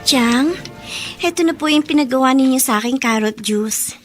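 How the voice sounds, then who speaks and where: A woman's voice: sits high, moderate pace, loud music behind the voice, lightly acted. A woman playing a deferential young student niece with a Filipino accent, respectful and eager in an audio drama. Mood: polite, hopeful. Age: young adult. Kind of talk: radio drama acting.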